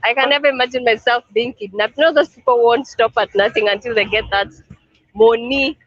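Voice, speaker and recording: A man's voice: extremely high pitch, fairly quick, dull audio. A man showing city streets outdoors on a call, talking hesitantly with many fillers. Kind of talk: casual chat on a call.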